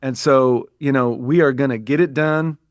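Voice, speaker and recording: A man's voice: medium pitch, fairly even intonation, moderate pace, dry recording, clean studio sound. A man from the United States, a business coach and podcast guest, speaking earnestly and reflectively with frequent restarts. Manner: earnest, reflective, talks in restarts and repeated phrases. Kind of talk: conversational interview.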